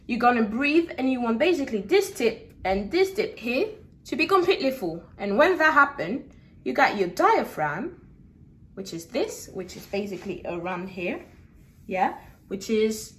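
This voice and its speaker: A young woman with a high voice leads a singing lesson, relaxed and encouraging, often pausing to check in with her students. She sounds a little distant from the mic in an echoey room. Soft instrument music plays faintly behind her. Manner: demonstrates lip trills and sung syllables. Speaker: singing teacher. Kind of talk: singing lesson with vocal exercises.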